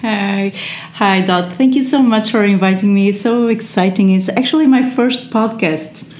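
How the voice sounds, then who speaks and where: A woman's voice: medium pitch, moderate pace, darkish tone. A woman, chatting casually as a podcast guest, with many fillers and small laughs. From Portugal. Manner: chatty, frequent fillers and restarts, laughs often. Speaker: guest, SEO translator. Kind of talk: casual interview chat. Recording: echoey room.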